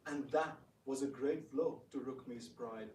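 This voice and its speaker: A man playing a genial, trusting elder prince, measured and courteous, in a theatrical reading. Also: medium pitch, fairly even intonation, darkish tone, slight background noise, natural voice. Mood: explaining gently. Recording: echoey room, distant microphone.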